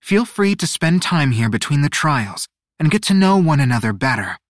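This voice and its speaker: A man's voice: a little high, big pitch swings, normal speed, no room sound, studio quality. A man voicing a poised woman guide in an audiobook, gracious and formal as she welcomes people.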